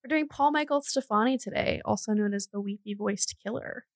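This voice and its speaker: A woman's voice: mid-range voice, fairly quick, no room sound, studio quality. A woman hosting a podcast, telling true crime stories in a casual, giggly, jokey and chatty way. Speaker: podcast host.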